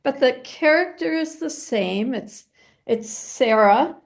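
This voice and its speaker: A woman's voice: sits high, lively intonation, slow pace, vocal fry. An American woman from New Hampshire, an interview guest telling stories casually in a hesitant, reflective way. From New Hampshire, United States. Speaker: guest, novelist.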